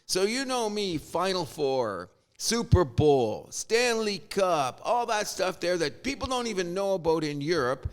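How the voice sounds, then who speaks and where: A man's voice: sits high, big pitch swings, unhurried, few pauses. A middle-aged man from Canada, ranting with exasperation and casual swearing as a sports podcast host. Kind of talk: opinionated sports rant.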